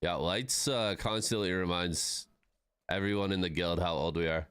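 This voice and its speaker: A man's voice: sits low, moderate pace, fairly bright tone, clear, resonant tone, no echo, studio quality. A man in his thirties chatting casually with his live-stream viewers, laid-back and swearing freely. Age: 30s.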